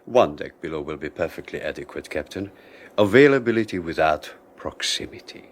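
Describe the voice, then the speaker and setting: An irritable, formal young husband. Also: male voice, low-pitched, animated, a little fast. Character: young husband, resentful and clipped. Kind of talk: 1980s radio drama acting.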